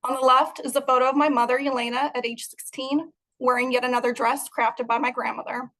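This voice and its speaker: A woman's voice: high-pitched, level tone, brisk, fairly bright tone, poor audio. A young adult woman from Moldova, giving an earnest, prepared talk about her art over a video call. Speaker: guest artist.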